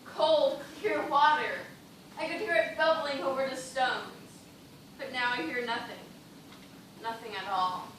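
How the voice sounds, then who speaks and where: Echoey actress as high-voiced, distraught castaway dancer. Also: female voice, measured pace, fairly bright tone. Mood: desperate insistence. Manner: theatrical, impassioned diction. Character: distraught, feverish female dancer castaway, losing her mind. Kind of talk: amateur stage play acting. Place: theatre stage, recorded from audience. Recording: very echoey stage, distant, noisy.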